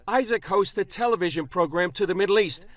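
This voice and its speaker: A man narrating a television news report in a measured, even way. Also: high voice. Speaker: news correspondent.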